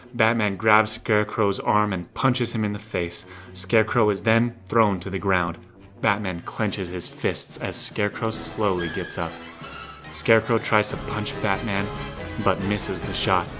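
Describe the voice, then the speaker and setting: Low-voiced male narrator. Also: unhurried. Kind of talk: scripted audio drama narration. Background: music.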